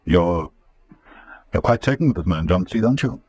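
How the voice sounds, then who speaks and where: An actor playing a jealous, cautious male conspirator in a vintage radio drama, grumbling and wary, with a husky voice. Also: sits low, expressive, normal speed, darkish tone, no echo. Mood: wary, sullen. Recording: dull, muffled vintage radio recording.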